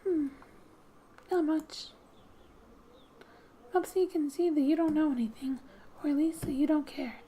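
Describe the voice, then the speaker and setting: A woman hosts a quiet gaming ASMR read-through in a high, mellow voice. She speaks softly and breathily, often slipping toward a whisper. Her delivery is gentle and unhurried, with playful asides, over background music. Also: slight background noise. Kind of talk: soft-spoken ASMR game narration, reading text aloud with asides.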